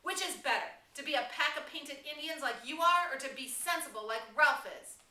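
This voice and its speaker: A woman voicing an earnest, indignant schoolboy from a novel, shouting insistently to be heard. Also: high voice, normal speed, pitched above the performer's own voice. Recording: roomy, distant mic, faint noise.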